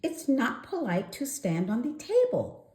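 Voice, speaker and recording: A woman voicing a polite, prim female otter librarian in a children's storybook, gently and sweetly correcting. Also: on the high side, wildly swooping pitch, a little slow, voice pushed up. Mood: prim scolding. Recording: echoey room.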